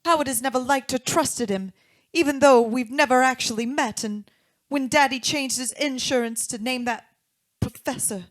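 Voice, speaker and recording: A woman's voice: sits high, normal speed, dry recording, close to an everyday voice. A woman playing an anxious, well-off young married woman in an old-time radio drama, earnest and genteel. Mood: uneasy. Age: young adult.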